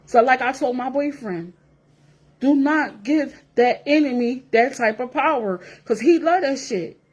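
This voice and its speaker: Blunt high-voiced woman in her forties. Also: unhurried, highs cut off. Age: 40s. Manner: blunt, profane, repetitive, emphatic. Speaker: vlogger. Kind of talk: casual rant to camera.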